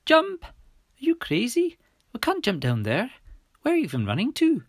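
Man putting on a high-pitched frightened teenage girl. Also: huge pitch swings, moderate pace, dry recording, clean studio sound, heavily acted. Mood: alarmed. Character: frightened teenage girl, shouting in pain and alarm. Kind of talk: audiobook character voice.

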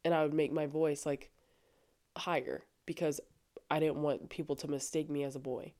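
A young adult woman with a low voice talking earnestly and casually on her faith podcast, with frequent fillers. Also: flat delivery, moderate pace, warm tone. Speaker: podcast host. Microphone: studio microphone.

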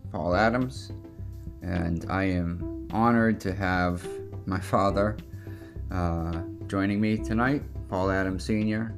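A man with a low, gravelly voice hosts a casual interview show. He talks conversationally and haltingly, feeling his way through phrases. Guitar music plays behind him. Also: fairly even intonation. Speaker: podcast host interviewing his father.

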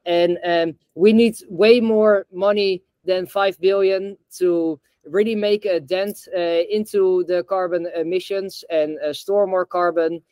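Guest founder from the Netherlands; fairly high voice, halting and filler-heavy, dry sound. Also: male voice, steady tone, a little mellow, smooth, no room sound. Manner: hesitant, many filler sounds and restarts. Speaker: guest, bamboo reforestation founder. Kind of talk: podcast interview.

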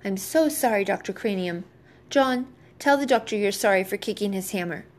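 A woman's voice: medium pitch, normal speed, close to an everyday voice. A woman voicing a proper, patient mother in a children's story, explaining carefully to her son. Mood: apologetic.

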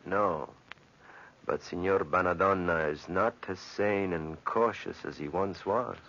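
An actor playing a grumbling, superstitious Italian bricklayer, insistent and ominous, in 1940s radio drama style. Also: male voice, low voice, unhurried, a bit bright, exaggerated voice. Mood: grumbling, foreboding. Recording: muffled 1940s radio recording.

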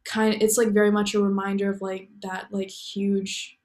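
A woman's voice: medium pitch, little pitch movement, moderate pace, a little mellow. A young American woman talking in a casual interview, rambling and filling her speech with "like" and "you know.". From United States. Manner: rambling, heavy use of "like". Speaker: guest.

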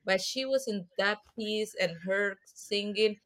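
A woman with a mid-pitched voice speaks as a podcast guest. She tells stories in a lively way and mixes Spanish and English as she talks. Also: takes it slowly, crowd noise. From Colombia. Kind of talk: casual interview chat.

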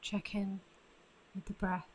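Female meditation guide, soothing and very slow, little pitch movement, faint ambient noise behind. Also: monotone, a little mellow. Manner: soothing, unhurried with long pauses.

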